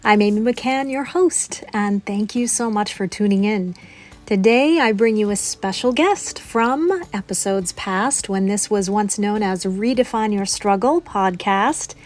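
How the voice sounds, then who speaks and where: A woman hosting a podcast, reading a scripted introduction in formal, measured phrases. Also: medium pitch. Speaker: podcast host. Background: music.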